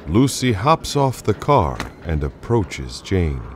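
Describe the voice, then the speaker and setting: A man's voice: sits low, sing-song, slow pace, a little hiss or hum, exaggerated voice. A man narrating a supernatural radio play as a grave, dramatic storyteller. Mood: grave, suspenseful. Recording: voice over clear music.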